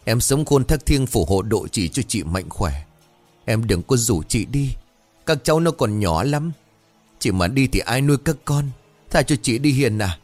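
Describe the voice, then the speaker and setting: A man's voice: medium pitch, animated, measured pace, darkish tone, no echo, soft background music, voice pushed up. A man voicing a terrified young woman wailing and begging, in a story reading.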